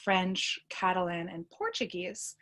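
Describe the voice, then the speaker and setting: A woman's voice: fairly low pitch, unhurried, a bit bright. A woman from the United States with an American accent, a podcast guest casually telling personal stories. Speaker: podcast guest, language learner.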